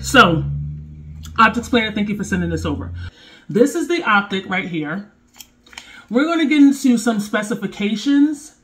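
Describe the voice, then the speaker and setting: A woman's voice: mid-range voice, fairly bright tone. A woman in her thirties, stuffy from a cold, chatting casually to her viewers as she reviews gear in her home kitchen. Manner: chatty, speaks directly to viewers.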